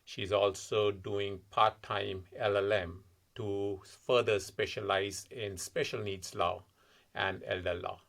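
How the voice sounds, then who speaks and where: A man in his forties from India, with an Indian accent, a podcast guest recounting his family's story in a measured, reflective way. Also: fairly low pitch, steady tone, vocal fry, a bit bright. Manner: measured, reflective, frequent uh fillers. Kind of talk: personal storytelling in interview.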